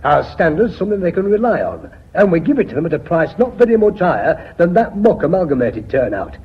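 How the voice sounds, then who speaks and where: Husky, high-pitched plain-spoken Englishman. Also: sing-song, brisk, heavily acted. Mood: earnest. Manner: plain, homely, earnest. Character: plain-spoken, hesitant works man on the board. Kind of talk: BBC radio drama acting. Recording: dull old radio recording.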